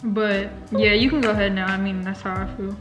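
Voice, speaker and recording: A woman's voice: medium pitch, fairly even intonation, a little fast. A young woman hosting her own vlog, chatting informally and a little hesitantly as she eats. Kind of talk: casual chat while eating. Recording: echoey room, music behind. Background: light background music.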